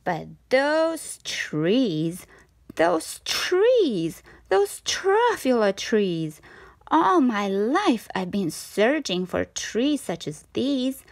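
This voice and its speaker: Expressive, slow-paced woman storyteller. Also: on the high side, creaky, no room sound. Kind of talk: expressive children's read-aloud.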